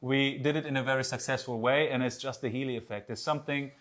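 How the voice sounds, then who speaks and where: Man, German accent, native German speaker, earnest sales pitch, persuasive. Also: fairly even intonation, quick pace, fairly bright tone. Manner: earnest, persuasive, slightly non-native phrasing. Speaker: presenter. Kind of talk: sales presentation.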